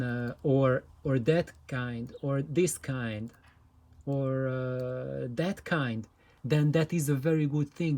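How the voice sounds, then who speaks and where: A man giving an unscripted explanatory demonstration, talking in a deliberate, halting way as he presents. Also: mid-range voice, warm tone. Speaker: presenter of hobbyist audio videos.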